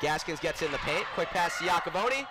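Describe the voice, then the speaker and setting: A man giving live basketball play-by-play as the announcer, rising to a shout on big plays. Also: a little high, expressive, brisk. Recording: noisy gym with court sounds. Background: basketball bounces and gym noise.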